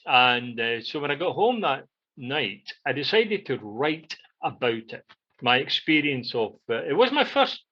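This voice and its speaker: A man's voice: medium pitch, big pitch swings, pushed and bright. Rambling Scottish man on dull lo-fi audio. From Scotland. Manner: rambling, halting, frequent "you know". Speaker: podcast co-host. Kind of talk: casual reminiscing chat. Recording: dull, lo-fi band-limited audio.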